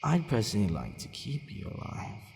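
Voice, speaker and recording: A man playing a centuries-old, courteous but possessive vampire in an ASMR roleplay, deliberate and hesitant, sometimes murmuring almost to a whisper. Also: on the low side, huge pitch swings, gravelly, darkish tone, slight background noise, heavily acted. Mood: dark, protective. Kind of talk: ASMR roleplay addressed to the listener. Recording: long reverberant hall echo.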